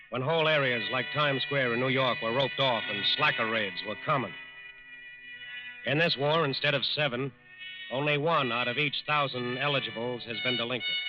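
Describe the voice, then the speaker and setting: Male narrator, American accent, middle pitch, formal 1940s radio delivery, dull recording with music behind.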